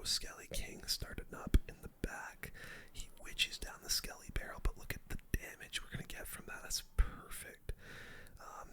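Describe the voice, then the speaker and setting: A person whispering casual ASMR gameplay commentary, breathy and unvoiced, full of gamer slang while talking through each move. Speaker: game streamer.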